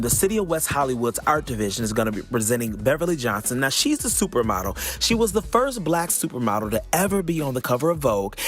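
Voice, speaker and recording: A man's voice: mid-range voice, fairly bright tone. A man hosting a podcast in a casual, upbeat way, playful and folksy as he talks straight to listeners and repeats phrases for emphasis. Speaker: podcast host.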